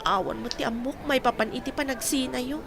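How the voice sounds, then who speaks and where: A woman's voice: sits high, steady tone, brisk, vocal fry, noisy background. A woman playing an earnest, polite young working woman in an audio drama.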